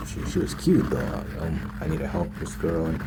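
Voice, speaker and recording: A man's voice: expressive, normal speed. A man doing a casual ASMR roleplay monologue, telling his story in a hesitant, repetitive, slangy way as a smitten, naive boyfriend. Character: smitten, naive young man gushing about his new girlfriend. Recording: lo-fi ASMR with loud rumbling noise.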